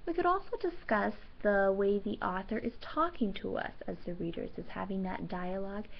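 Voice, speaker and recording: A woman's voice: medium pitch, moderate pace, gravelly. Woman reading a storybook, muffled lo-fi sound. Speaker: teacher reading aloud. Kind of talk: children's storybook read-aloud with teaching commentary. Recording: dull, lo-fi sound.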